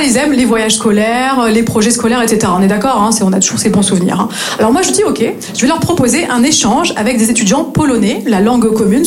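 A young woman from north-east France with a high voice, telling stories on a comedy stage. She is chatty and keeps turning to the crowd with little questions. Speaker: stand-up comedian, former English teacher. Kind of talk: stand-up comedy set. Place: comedy stage before a live audience.

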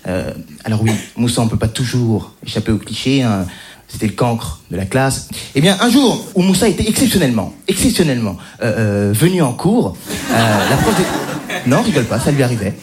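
A man's voice: medium pitch, very animated, brisk, a little hiss or hum. A man from the French suburbs doing stand-up, telling an exaggerated school story with hesitations and mock voices. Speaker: stand-up comedian. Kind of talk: stand-up routine.

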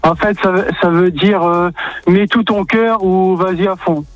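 A man from northern France, a truck driver phoning in to a radio show, talking in a relaxed, hesitant, plainspoken way. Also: high voice, unhurried, dull audio, faint music behind. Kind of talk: casual phone-in chat.

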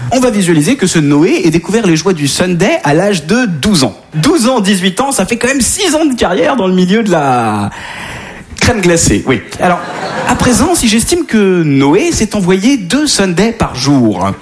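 A man's voice: sits high, big pitch swings, moderate pace. Guy doing stand-up, fake nature-documentary narration, voice swings up and down a lot. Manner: mock-documentary narration, mock-lecturing. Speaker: stand-up comedian. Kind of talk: stand-up comedy routine.

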